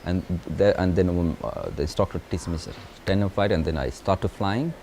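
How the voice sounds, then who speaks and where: A man from Nepal with a Nepali accent, a paragliding pilot telling his story in an interview in halting English full of restarts. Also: low-pitched, a little mellow, a little hiss or hum. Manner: halting non-native English with many restarts. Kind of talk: interview, recounting his life.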